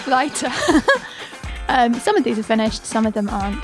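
A woman's voice: on the high side, moderate pace, poor audio. A woman comedian giving casual, giggly, self-deprecating answers as a guest. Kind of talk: casual answers to quick questions. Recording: music bed under the voice. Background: music.

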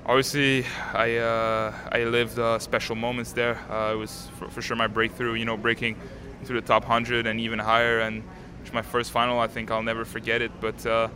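An eighteen-year-old man from Canada, a native French speaker, answering interview questions outdoors in a calm, composed way with many fillers. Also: mid-range voice, moderate pace, hardly any pauses. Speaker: tennis player, interviewee. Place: outdoors at a tennis stadium. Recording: crowd noise behind.

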